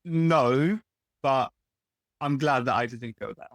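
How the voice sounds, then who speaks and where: A man's voice: medium pitch, takes it slowly, no room sound, clean studio sound. A young Lebanese man, whose first language is Arabic, speaks as an interview guest in a candid way, often restarting and correcting himself. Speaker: guest, pharmacist. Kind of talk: casual interview talk.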